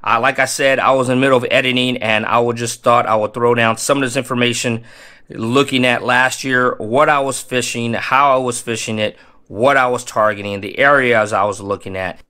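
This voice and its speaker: A man in his thirties giving casual, energetic fishing tips to camera in a small home room, stressing points by repeating them. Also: medium pitch, fairly quick, clean tone. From Texas Gulf Coast. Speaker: fishing channel host.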